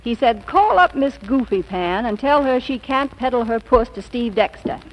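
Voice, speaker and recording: An actress playing a sharp-witted, possessive secretary in a 1940s radio comedy, with a brisk, arch, scolding way of talking. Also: female voice, fairly high pitch, animated. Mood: brisk, pointed. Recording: noisy, hissy old radio broadcast.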